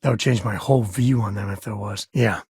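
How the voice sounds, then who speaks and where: An actor playing a wry, laid-back former ranger and space explorer in a video game, a husky man talking in a folksy, conversational way. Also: fairly low pitch, a little fast, a little mellow, no room sound, clean studio sound, exaggerated voice. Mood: wry, casual. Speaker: video game companion character. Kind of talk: video game voice acting, casual dialogue.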